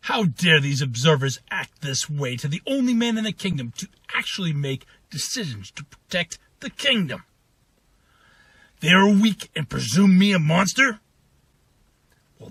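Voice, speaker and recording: Man acting a haughty prince with a husky voice. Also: high voice, fairly bright tone, exaggerated voice. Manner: pompous, scornful, theatrical. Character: arrogant, wrathful, imperious prince dictating letters. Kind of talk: audio drama acting.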